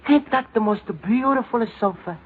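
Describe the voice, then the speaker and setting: A man's voice: very high-pitched, moderate pace, slightly rough. An actor playing a good-natured, naive Italian immigrant man with an Italian accent and singsong broken English in an old-time radio comedy. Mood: gentle, encouraging. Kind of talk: 1940s-50s radio comedy acting. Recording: noisy, tinny old-time radio recording.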